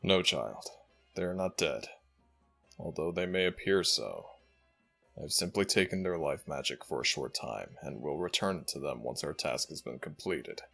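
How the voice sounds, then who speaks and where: A man voicing an ancient jackal god in an audio roleplay, regal and fondly exasperated, with formal, measured speech and a deep, gravelly voice. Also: expressive, sharp tone, soft background music, full character voice. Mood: calm, proud.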